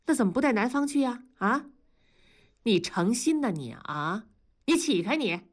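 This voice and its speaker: A woman's voice: fairly high pitch, very animated, moderate pace, voice pushed up. A woman voicing a middle-aged husband and father who coaxes his daughter and argues with his wife and mother. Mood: exasperated.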